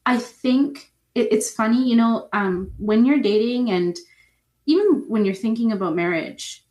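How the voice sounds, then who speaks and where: Brief: woman author guest, measured and hedging, lots of restarts. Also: on the high side, lively intonation, darkish tone. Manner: thoughtful, hedging, many restarts. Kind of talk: podcast interview answers.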